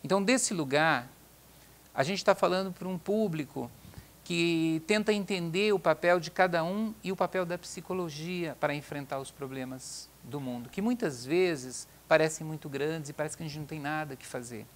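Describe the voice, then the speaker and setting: A man's voice: a little high, normal speed. A man interviewing a guest, putting his question in a formal, respectful way. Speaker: interviewer, psychology course coordinator.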